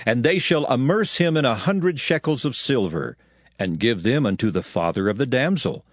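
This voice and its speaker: A man's voice: medium pitch, no echo, clean studio sound. A man narrating scripture in a solemn, declamatory style, as in a dramatized Bible reading.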